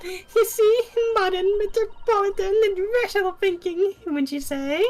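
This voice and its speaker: A woman's voice: extremely high pitch, steady tone, a little slow, a little mellow, soft background music. A woman voicing a prim, smug Victorian lady juror with an affected, mannered delivery in an amateur game fandub. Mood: smug, self-satisfied.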